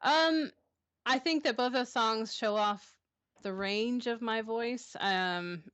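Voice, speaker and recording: A woman's voice: fairly high pitch, slow pace, fairly bright tone, no echo. A woman in her thirties, a streamer answering interview questions in a casual, hesitant way, with many ums and restarts. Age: 30s. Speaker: streamer guest.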